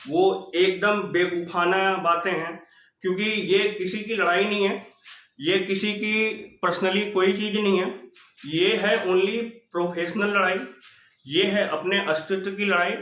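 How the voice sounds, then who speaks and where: A man with a noticeably high voice delivers an informal motivational update. He sounds earnest and exhorting, circling back over the same phrasing. The recording is roomy, with a clear echo around his speech. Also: a bit bright. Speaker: channel host addressing exam candidates.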